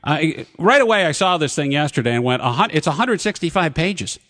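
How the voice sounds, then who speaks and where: A man hosting a talk radio show in the studio, who reads contract terms off in a rapid list and talks over his guests. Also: a little high, lively intonation, fairly bright tone. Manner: pushy, interrupts guests. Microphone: studio broadcast mic.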